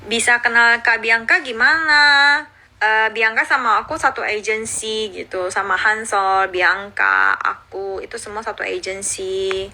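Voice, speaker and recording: A woman in her thirties who grew up in Indonesia, chatting casually on a phone livestream and answering viewer comments, cheerful and quick to laugh. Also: on the high side, animated, pushed and bright. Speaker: livestreaming YouTuber. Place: outdoors or at home in Seoul.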